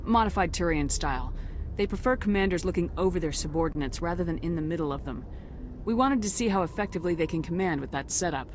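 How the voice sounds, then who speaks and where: A woman's voice: fairly low pitch, expressive, fast, slight background noise, close to an everyday voice. A woman playing a composed, dutiful military starship commander in a video game, speaking formally and respectfully. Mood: calm, formal.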